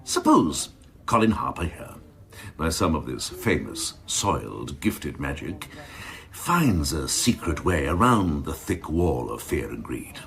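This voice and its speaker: An actor playing a cynical, domineering assistant chief constable, mocking and ornate in a crime radio drama. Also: male voice, very deep voice, big pitch swings, slow, gravelly, full character voice. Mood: mocking, grandiose. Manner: mocking, ornate, theatrical phrasing.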